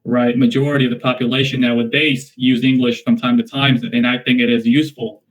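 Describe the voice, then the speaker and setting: A man from the Philippines with a Filipino accent, giving measured interview answers full of repeats and restarts. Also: mid-range voice, little pitch movement, poor audio. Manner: measured, repetitive, leans on "basically" and restarts.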